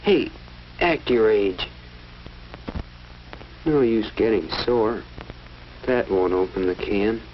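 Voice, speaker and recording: An actor voicing a brooding teenage schoolboy's thoughts in a 1940s educational film, musing to himself with self-mockery. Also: male voice, fairly low pitch, animated, takes it slowly, pauses often. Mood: musing, wry. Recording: muffled, noisy old 1940s film soundtrack.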